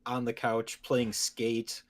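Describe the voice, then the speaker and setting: A man's voice: medium pitch, completely flat delivery, takes it slowly. A man hosting a gaming podcast, talking casually and conversationally with his co-hosts. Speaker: podcast host.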